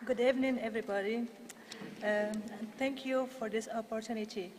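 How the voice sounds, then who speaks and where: A woman from Morocco with a Moroccan accent, giving a simple public speech in halting English. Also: fairly high pitch, fairly even intonation, over a noisy crowd. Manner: halting, hesitant delivery. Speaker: English student giving a testimonial. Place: large indoor hall, public event. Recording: noisy echoey hall.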